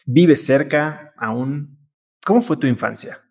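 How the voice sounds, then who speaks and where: A man in his thirties hosting an interview podcast, reading sponsor spots and asking warm, open questions into a close studio mic. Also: on the high side, animated, slow, creaky. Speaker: podcast host. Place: small room with plain wall. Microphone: close studio mic with headphones.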